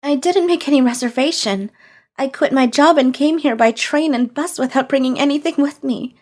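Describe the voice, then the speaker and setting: A woman playing a gentle, troubled young woman in an English manga dub, speaking softly, politely and sincerely. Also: high-pitched, moderate pace, lightly acted.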